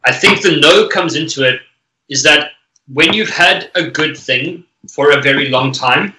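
A man's voice: on the high side, unhurried, bright, forceful tone, slight background noise. A man hosting a casual podcast chat, thinking aloud with lots of "I mean" and "like". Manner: musing, filler-heavy "I mean", "like". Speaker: podcast host. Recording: echoey room.

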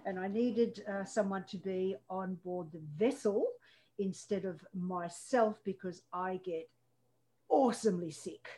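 Mellow woman, steady storyteller. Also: medium pitch, a little slow. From Australia. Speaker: guest, paranormal tour organiser. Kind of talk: casual chat.

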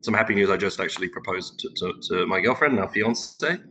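A man whose first language is Turkish, a guest in a casual interview, speaking haltingly and repeating words as he thinks. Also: low voice, a loud crowd. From England. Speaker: podcast guest.

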